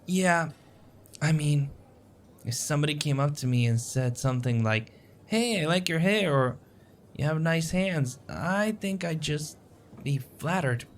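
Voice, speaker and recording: A man's voice: fairly high pitch, lively intonation, a little slow, a little hiss or hum. A man playing a shy, anxious, sweet young male stranger in a romantic audio roleplay, hesitant, stammering and full of self-doubt. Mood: self-conscious.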